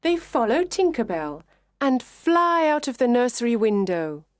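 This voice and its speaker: A woman reading a children's story aloud in clear, measured narration for English learners. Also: fairly high pitch, very animated, no room sound, studio quality.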